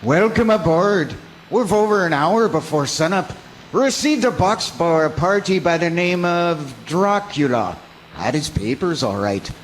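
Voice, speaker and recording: Actor as high-voiced businesslike male official. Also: expressive, unhurried, a little hiss or hum, poor audio. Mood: matter-of-fact. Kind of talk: radio drama acting.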